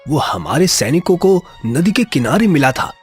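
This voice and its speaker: A man voicing a stately, benevolent king in a cartoon moral story, measured, dignified and reassuring, with a husky voice. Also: on the high side, big pitch swings, faint music behind. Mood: calm, gracious.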